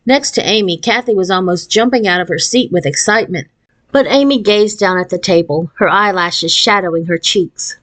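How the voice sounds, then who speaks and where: A woman narrates a children's book in a mid-pitched voice. She reads as a storyteller, giving expression to scripted prose. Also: moderate pace, a bit bright. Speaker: storyteller reading a children's novel aloud.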